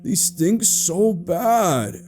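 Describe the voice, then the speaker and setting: A man's voice: high voice, animated, normal speed, warm tone, soft background music, voice pushed up. A man voicing a frightened, stubborn little boy who pleads and protests indignantly in a horror story. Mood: disgusted, indignant. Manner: pleading, indignant, childish exclamations.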